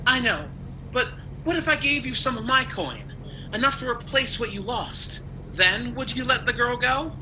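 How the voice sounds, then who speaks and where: A woman's voice: on the high side, expressive, normal speed, natural voice. A woman playing an anxious, humbly polite householder in a radio play, hesitant and deferential. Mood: pleading. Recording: noisy home video-call recording.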